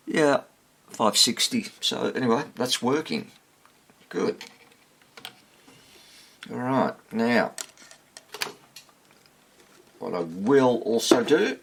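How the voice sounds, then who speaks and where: A man giving casual, unscripted hands-on repair commentary, thinking aloud in short fragments with a husky, gravelly voice. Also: fairly low pitch, a bit bright. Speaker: hobbyist radio repairer demonstrating on video.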